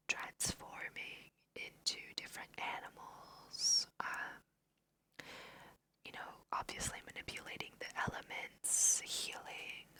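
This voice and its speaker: A woman whispering softly and unhurriedly in an ASMR style as she guides listeners through a video game, mixing read-aloud text with casual asides. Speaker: host of a video game let's play.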